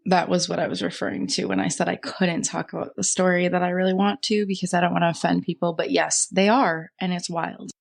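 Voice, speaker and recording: A woman co-hosting a podcast in casual chat, expressive and slangy, reacting freely to her partner. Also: fairly low pitch, a little fast, vocal fry, few pauses, soft background music. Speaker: podcast co-host.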